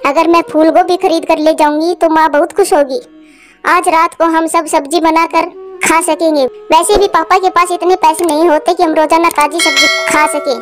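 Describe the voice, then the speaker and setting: A woman's voice: very high voice, fairly even intonation, moderate pace, faint music behind. A woman voicing a sweet, thoughtful young girl bird in a cartoon.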